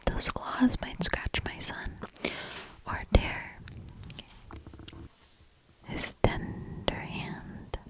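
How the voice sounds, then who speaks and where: Whispering woman, slow ASMR reading. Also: low-quality recording. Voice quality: breathy, unvoiced whisper. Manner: slow, gentle, hushed delivery. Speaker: ASMR creator reading a storybook aloud. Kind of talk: whispered ASMR storybook reading and soft casual commentary.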